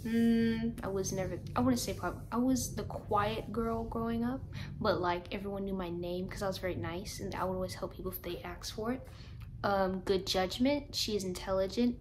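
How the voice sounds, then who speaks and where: A young woman in her twenties talks to camera at home in a loose, rambling way, often laughing at herself. Her pitch sits in a middle range, and a faint background hiss runs under her voice. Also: moderate pace. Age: 20s. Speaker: vlogger. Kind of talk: casual chat to camera.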